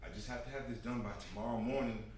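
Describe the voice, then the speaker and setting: A man acting a gruff but caring older brother, a hardworking mechanic, in a staged reading, talking in a colloquial, exasperated way. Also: mid-range voice, fairly even intonation, very fast, lightly acted. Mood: apologetic. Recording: roomy, distant mic, loud background noise.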